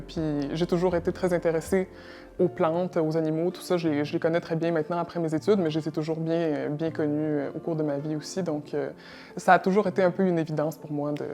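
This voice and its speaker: A young woman with a low, mellow voice answers interview questions slowly and hesitantly, stopping often on fillers and repeating words. She grew up in Russia. Soft music plays behind her. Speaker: interview guest, environmental technician.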